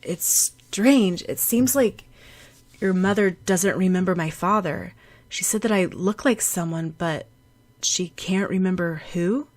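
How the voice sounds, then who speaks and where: A woman's voice: fairly low pitch, a little mellow. Woman voicing a stammering, flustered teenage boy. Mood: puzzled. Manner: stammering, halting. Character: awkward, proud, easily flustered teenage boy.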